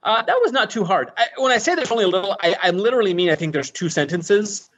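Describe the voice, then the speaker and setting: A man's voice: high voice, expressive, fast, fairly bright tone. A man being interviewed as an author and language inventor, talking thoughtfully with frequent restarts and fillers. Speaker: author and conlanger, interview guest. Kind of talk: casual interview talk. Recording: muffled, dull podcast audio.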